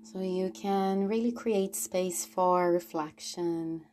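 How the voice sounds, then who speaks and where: A woman hosting a guided relaxation practice, speaking in a gentle, unhurried way with long pauses. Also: on the low side. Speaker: podcast host and guided relaxation teacher. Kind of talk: guided relaxation, slow reflective narration. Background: soft plucked guitar music.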